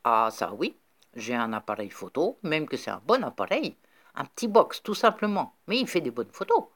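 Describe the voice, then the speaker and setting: Old rustic farmer, calm and obliging, slow drawl, voiced by a woman. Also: sits low.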